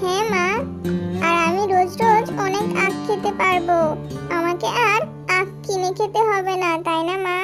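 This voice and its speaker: Little fox girl, extremely high voice, sweet, eager, cartoon style, music behind. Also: fairly even intonation, normal speed. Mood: excited. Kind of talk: children's cartoon dubbing.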